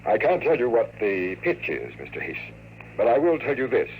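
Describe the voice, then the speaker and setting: A man acting a calm, menacing longevity expert in a 1950s radio drama, measured and coolly polite. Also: medium pitch, creaky. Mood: coolly threatening. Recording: noisy, narrow-band vintage radio recording.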